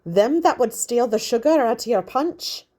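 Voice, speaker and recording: A woman's voice: fairly high pitch, lively intonation, normal speed, dark tone, pitched above the performer's own voice. A woman voicing a shrewd old great-grandmother who gives folksy warnings. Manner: folksy, cautioning.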